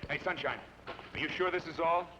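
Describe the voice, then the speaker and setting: A man's voice: fairly high pitch, brisk, bright, forceful tone. A wry drawling western gunman. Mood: commanding. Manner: dry, folksy drawl. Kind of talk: 1940s radio acting. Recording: muffled old radio broadcast with hiss.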